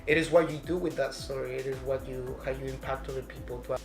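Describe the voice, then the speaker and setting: A man's voice: medium pitch, steady tone, quick pace. A man from Mexico, talking passionately in a casual conversation, often asking "right?" to press his points. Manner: passionate, emphatic, says "right?" and "girl". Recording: echoey room with music behind.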